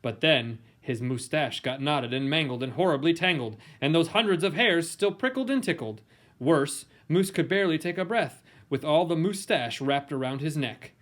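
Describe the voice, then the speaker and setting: Male storybook reader, slightly high voice, playful and theatrical delivery for young listeners. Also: lively intonation, fairly bright tone. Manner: theatrical, playful, dramatic emphasis and pauses. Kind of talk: expressive read-aloud of a children's picture book.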